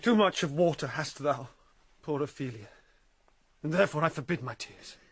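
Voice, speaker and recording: A man's voice: a little high, animated, normal speed, fairly bright tone, husky, no room sound, full character voice. An actor playing a passionate, vengeful young nobleman in a Shakespearean radio drama, intense and dramatic. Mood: choked grief. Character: vengeful young nobleman, passionate, hot-blooded, bereaved son and brother.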